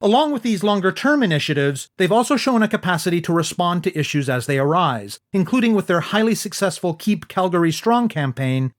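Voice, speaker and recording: A man hosting a radio show, reading a scripted introduction in a measured, clear way. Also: high-pitched. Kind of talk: scripted narration.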